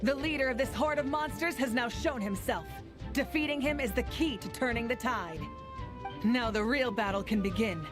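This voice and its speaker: A woman's voice: a little high, moderate pace, music in the background, voice pushed down, close to an everyday voice. A woman voicing a solemn, authoritative female warrior mentor in a heroic comic scene within a video game. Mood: commanding, intense. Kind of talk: dramatic video game voice acting.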